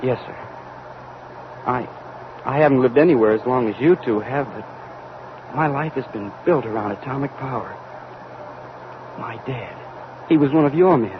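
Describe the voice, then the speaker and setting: An actor playing a young, earnest, deferential physicist and rocket radio operator in a vintage radio drama, hesitant and respectful. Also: male voice, moderate pace, long pauses, lightly acted. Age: young adult. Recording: muffled vintage radio recording, loud hiss, rumble.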